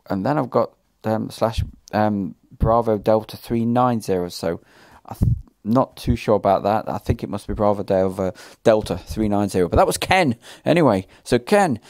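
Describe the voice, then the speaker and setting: Mellow-voiced man hosting a CB radio net. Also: medium pitch, no room sound. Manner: appreciative, thanking callers. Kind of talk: casual recap of radio contacts, reading out call signs.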